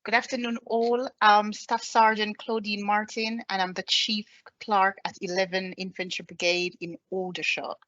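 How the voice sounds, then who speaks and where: A woman's voice: medium pitch, flat delivery, moderate pace, few pauses, a bit bright, muffled recording. A woman in her thirties with a Jamaican accent, giving a personal presentation as an army staff sergeant, speaking plainly with many ums. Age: 30s. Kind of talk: presentation with slides.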